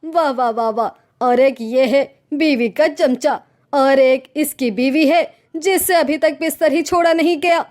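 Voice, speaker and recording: A woman's voice: sits high, darkish tone. A woman voicing a nagging, sarcastic older mother-in-law in a cartoon story, grumbling and taunting theatrically.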